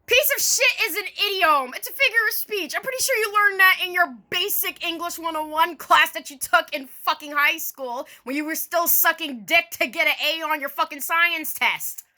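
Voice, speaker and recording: A woman's voice: very high-pitched, moderate pace, few pauses, bright, forceful tone. A woman doing a mock-formal dramatic reading with casual, sarcastic, sweary asides in a theatrical manner. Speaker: online video creator doing a dramatic reading.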